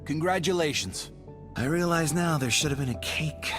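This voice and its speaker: A man's voice: on the high side, moderate pace, fairly bright tone, natural voice. A man playing a dry, wisecracking intelligence officer of a tactical squad in a video game. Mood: wry, friendly. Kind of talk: scripted game dialogue. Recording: music behind the voice.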